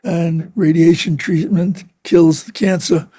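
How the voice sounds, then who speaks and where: An older man with a hoarse, raspy voice, speaking reflectively in an interview in his office. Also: a little high, steady tone, slow pace, mellow, dry recording, studio quality. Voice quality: raspy, gravelly. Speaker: retired biology professor.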